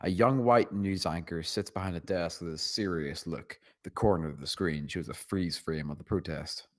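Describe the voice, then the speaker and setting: A man's voice: low voice, normal speed. A man narrating scene directions from a screenplay at a table read. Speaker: narrator reading stage directions.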